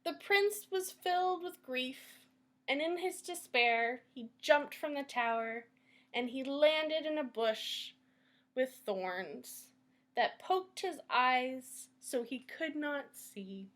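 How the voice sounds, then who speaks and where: A woman hosting a library storytime, reading aloud and instructing children in an expressive, deliberate way. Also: sits high.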